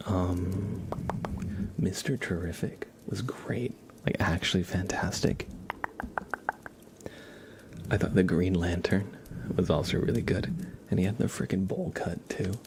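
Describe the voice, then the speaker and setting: A man doing casual ASMR live-stream chat in a soft, whispery, hushed voice, rambling informally. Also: sits low, background noise.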